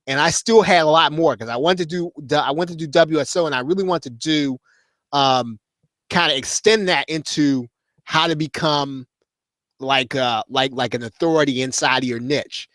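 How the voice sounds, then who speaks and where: Host, male, forties, casual live talk, stop-start rambling, slightly high voice, dry close sound. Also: normal speed, fairly bright tone, no room sound. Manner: rambling, many restarts and fillers. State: losing his voice. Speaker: host of an online marketing show.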